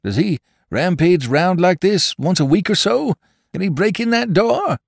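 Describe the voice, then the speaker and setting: A man voicing a jocular, nervous traveling salesman in a storybook reading, with high, excitable questions. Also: moderate pace, no room sound, studio quality. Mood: anxious.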